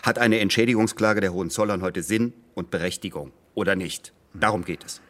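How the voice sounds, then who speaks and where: An actor playing an impatient, demanding lawyer in a naturalistic radio play, insistent and exasperated, with a husky voice. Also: male voice, low voice, a little fast, a bit bright. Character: impatient, demanding male lawyer commissioning research. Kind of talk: naturalistic German radio drama dialogue.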